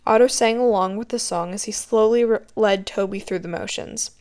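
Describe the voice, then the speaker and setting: A woman's voice: mid-range voice, moderate pace, darkish tone. A woman narrating a written story as an amateur audiobook reader.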